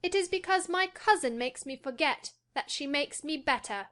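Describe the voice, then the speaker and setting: A woman's voice: high-pitched, expressive, moderate pace, fairly bright tone. A woman voicing a fretful, lordly young invalid boy in an audiobook dramatic reading, haughty and commanding. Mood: petulant, imperious.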